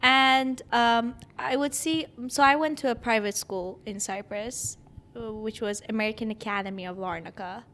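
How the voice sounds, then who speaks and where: A woman's voice: a little high, normal speed, a bit bright. Chatty woman with a Persian-Greek accent. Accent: mixed Persian and Greek. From Iran. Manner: chatty, laughs often mid-sentence. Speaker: guest. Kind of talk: casual interview chat.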